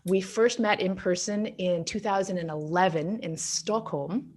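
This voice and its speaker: A woman's voice: fairly low pitch, unhurried, darkish tone. A woman hosting an interview, reading an enthusiastic introduction of her guest. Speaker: interviewer host. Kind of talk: video interview.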